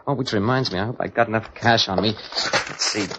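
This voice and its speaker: A man's voice: lowish voice, a little fast, lightly acted. An actor playing an earnest, flustered man insisting on his story in an old radio drama, pleading and hesitant. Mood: puzzled. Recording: muffled, noisy old radio recording.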